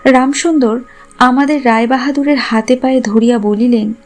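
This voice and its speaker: Higher-voiced woman narrating a story over music. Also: fairly even intonation, moderate pace. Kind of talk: scripted literary narration in formal Bengali prose.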